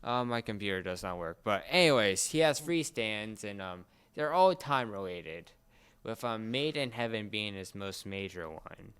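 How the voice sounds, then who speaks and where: Halting, clear-voiced man in fan chat. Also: fairly low pitch, animated, fairly bright tone. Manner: halting, searching for words.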